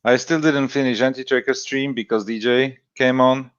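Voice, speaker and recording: Man, halting voice chat. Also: mid-range voice, fairly even intonation, smooth, dry recording, highs cut off. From Slovakia. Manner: halting, many fillers and restarts. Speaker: stream chat participant. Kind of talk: casual chat.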